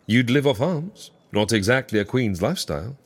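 An actor playing a wry, businesslike fixer in a comic audio drama, speaking with dry, formal, measured salesmanship. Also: medium pitch, lively intonation, breathy edge. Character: wry, businesslike fixer who arranges faked deaths for clients.

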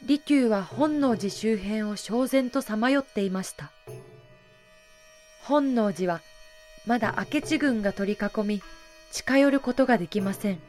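A woman's voice: on the high side, a little slow, darkish tone, soft background music. A woman giving polite, explanatory scripted narration for a historical audio drama.

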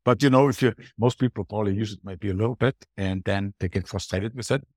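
Low-voiced Austrian man, dry close sound. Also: fast, darkish tone, dry recording. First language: German. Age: middle-aged or older. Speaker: podcast guest, booking strategist. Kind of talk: conversational interview answers.

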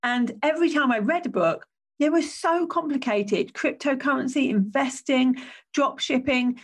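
Woman, croaky, slightly high voice, conversational, podcast host talking on her own. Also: moderate pace. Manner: chatty, self-correcting, conversational. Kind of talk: solo business podcast.

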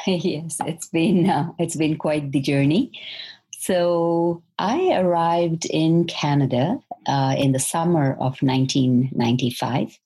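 Very low, dark-voiced woman in her fifties. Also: husky. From Malaysia. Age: 50s. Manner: measured, thoughtful storytelling. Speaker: podcast guest. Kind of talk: reflective interview answers.

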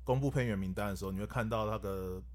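A man's voice: lowish voice, very fast, a little mellow. A man voice actor, talking in a wry, matter-of-fact way on a podcast. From Taiwan. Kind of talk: casual podcast chat.

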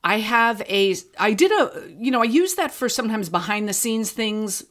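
A woman with a medium-pitched voice talks casually as an interview guest. Her intonation is very lively, and she tells stories in an animated way, voicing her own past thoughts. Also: moderate pace. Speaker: podcast creator being interviewed.

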